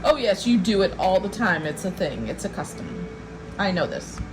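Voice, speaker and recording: A woman's voice: medium pitch, sing-song, moderate pace, noisy background. A woman chatting casually as a co-host, reacting to a story with amused, teasing interjections.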